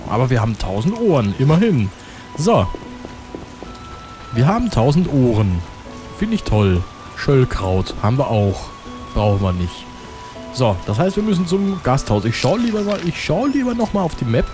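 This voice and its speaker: A man with a cold rambles through casual gameplay commentary, often muttering to himself. Loud game music plays behind his voice. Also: medium pitch, big pitch swings, normal speed. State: has a cold. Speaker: let's play commentator.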